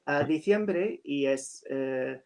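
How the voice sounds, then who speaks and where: A man whose first language is English, a writer in a casual chat over a video call, speaking slowly and hesitantly with many fillers. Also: fairly high pitch, vocal fry, a little mellow. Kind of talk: casual conversation.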